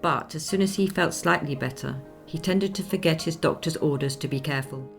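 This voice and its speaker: A woman playing a composed, discreet 19th-century lady who recounts her memories in formal, measured speech for a radio play. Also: low voice, gravelly, soft background music. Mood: composed, sympathetic. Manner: measured, formal, genteel storytelling.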